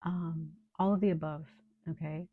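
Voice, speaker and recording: A woman with a low, slightly hoarse voice, under the weather with a cold, reads tarot for her viewers. She talks in an unhurried, reassuring way and fills pauses with ums. The sound is dry and close, with no room echo. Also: fairly even intonation, phone-quality sound. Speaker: tarot reader. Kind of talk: casual tarot reading to viewers.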